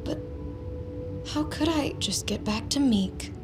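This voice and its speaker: A woman's voice: fairly high pitch, expressive, slow. An actress playing a mousy, self-pitying young woman in a confessional audio drama monologue, wry and self-justifying. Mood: shaken, rationalizing. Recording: music under the voice. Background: music.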